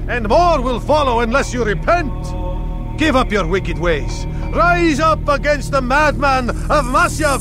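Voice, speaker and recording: A man's voice: very high-pitched, exaggerated voice. An actor playing an impassioned, defiant traitor who declaims his beliefs in a dramatic video game. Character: impassioned male traitor preaching defiantly to a crowd.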